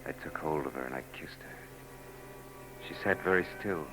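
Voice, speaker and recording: A man's voice: rumbling low, normal speed. An actor playing a wry, weary male private eye in a 1940s radio drama, dry and sardonic. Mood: brooding. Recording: old radio broadcast with hum.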